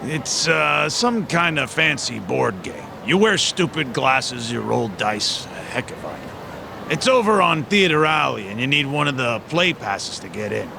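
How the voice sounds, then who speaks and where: A man's voice: mid-range voice, sing-song, measured pace, creaky, background noise, full character voice. A man voicing a folksy, slangy homeless man trading tips and favors in a video game cutscene. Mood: chatty, wheedling.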